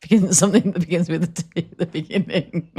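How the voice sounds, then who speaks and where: Gravelly low-voiced woman chatting. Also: fairly even intonation, fairly quick, mellow. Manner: chatty, rambling, laughs easily. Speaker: podcast co-host. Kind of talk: casual chat.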